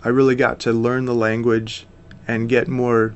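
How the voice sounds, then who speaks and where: A man's voice: mid-range voice, level tone, normal speed, dull audio. An American man in his thirties, a missionary guest, talking earnestly and steadily in a casual interview, with frequent fillers. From United States.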